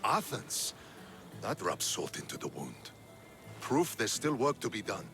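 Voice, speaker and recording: A man playing a battle-worn, loyal Spartan soldier in dramatic game dialogue, measured and steadfast. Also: fairly low pitch, big pitch swings, vocal fry, over music, full character voice. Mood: grim.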